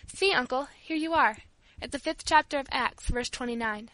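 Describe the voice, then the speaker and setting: Woman playing a young storybook girl, high bright voice, timid, earnest, imploring, polite. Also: normal speed, no echo, low-quality recording. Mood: eager, confident. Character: earnest, pleading, devout young orphan girl.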